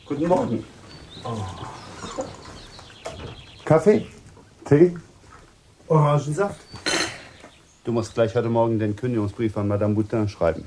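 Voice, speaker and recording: An actor playing a composed, protective gentleman host who takes charge, in a German radio drama. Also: mid-range voice, a little slow, long pauses, noisy background, close to an everyday voice. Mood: polite, brisk. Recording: music behind the voice.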